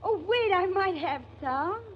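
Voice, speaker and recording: A woman's voice: very high voice, animated, a little slow. An actress playing a flustered, hesitant genteel young woman in a 1940s radio drama. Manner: fluttery, hesitant. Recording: muffled 1940s radio recording, faint hiss.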